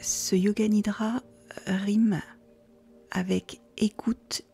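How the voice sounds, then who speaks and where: Soft whispery lady doing guided meditation, super slow, bit of creak, quiet music behind. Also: medium pitch. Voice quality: soft, breathy, near-whisper. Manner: calm, slow, soothing instructions with pauses. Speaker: yoga nidra guide.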